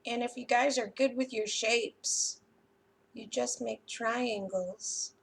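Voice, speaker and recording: Lady doing kids story time, high voice, takes it slow, kinda sing-song and expressive. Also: creaky, breathy edge. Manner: sing-song, expressive, addressing young children. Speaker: library story time host. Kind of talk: children's picture book reading and craft demonstration.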